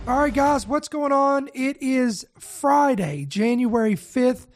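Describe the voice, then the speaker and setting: Raspy, nasal, congested male pastor. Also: very high voice, takes it slowly, soft background music. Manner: relaxed, speaks straight to viewers. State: congested from a virus. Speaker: pastor and broadcast host. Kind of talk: casual teaching broadcast.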